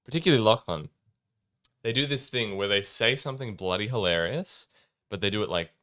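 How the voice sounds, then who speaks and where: A young man co-hosting a podcast, talking in a relaxed, rambling way with lots of ums and laughs. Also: on the low side, fairly quick, no room sound, clean studio sound. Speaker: podcast co-host. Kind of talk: casual chat. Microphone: studio mic.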